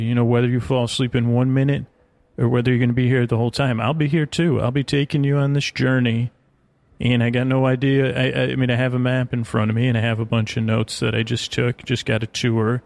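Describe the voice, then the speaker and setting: A man hosting a sleep podcast, delivering a halting, meandering bedtime monologue full of stammers and digressions, in a gravelly voice. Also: medium pitch, a little mellow, no room sound.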